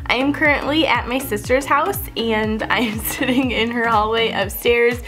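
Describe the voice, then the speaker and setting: A woman's voice: fairly high pitch, fairly even intonation, normal speed, over music. Chatty young woman with a stuffy cold. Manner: chatty, laughs often, talks to her dogs. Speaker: beauty vlogger. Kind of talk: casual haul vlog. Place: upstairs hallway of a house, indoors. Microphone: camera-mounted mic, seated close to the camera.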